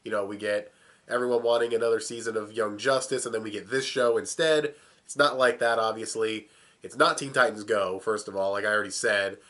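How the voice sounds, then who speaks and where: A man's voice: fairly low pitch, fairly even intonation, a little fast, fairly bright tone. A young man with a cold, sounding plugged up, casually reviewing a cartoon, swearing and chuckling as he talks. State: sick, plugged up. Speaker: video reviewer.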